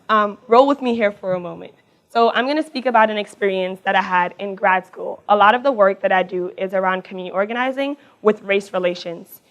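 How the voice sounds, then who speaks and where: A young woman with a raspy voice, giving a personal talk on a mic to a live audience, chatty and quick. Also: medium pitch. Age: young adult. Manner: talks fast, warm and chatty, laughs between thoughts. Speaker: alumna speaker. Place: event hall with audience. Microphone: handheld or stage mic.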